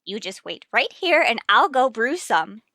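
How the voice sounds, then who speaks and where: A woman's voice: fairly high pitch, very animated, a little slow, bright, forceful tone, no echo, studio quality, heavily acted. An actress playing a chatty, dotty, kindly older landlady in a 1950s radio sitcom, with a fussy manner.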